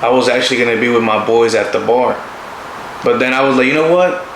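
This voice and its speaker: A man's voice: mid-range voice, fast. Young man in a noisy, echoey room. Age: 20s. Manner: joking, slangy. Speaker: couple's channel co-host. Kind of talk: casual chat to camera. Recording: roomy echo, clear background noise.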